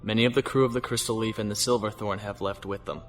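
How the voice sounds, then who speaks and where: A man's voice: fairly low pitch, fairly even intonation, fast, faint music behind, lightly acted. A man playing a weary, principled starship captain in a science fiction audio drama, reflective and earnest.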